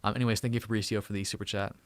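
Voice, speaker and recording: A man's voice: fairly low pitch, level tone, very fast, no echo. Upbeat man chatting on a live stream. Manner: upbeat, thankful, restarts and repeats words often. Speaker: tech channel host. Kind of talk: casual live stream chat. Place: home room.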